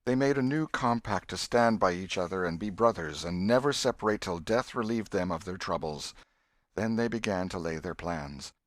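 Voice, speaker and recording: A man reading a classic novel aloud as scripted narration, in a measured, expressive storytelling manner. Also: low-pitched, no echo, clean studio sound. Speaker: audiobook reader.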